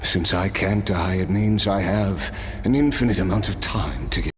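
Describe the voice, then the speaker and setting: An actor playing an old immortal warrior in a video game, weary and wistful, with a reflective, wry manner. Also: male voice, low voice, normal speed, breathy edge, no echo. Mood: wry, amused. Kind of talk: dramatic video game voice acting, storytelling.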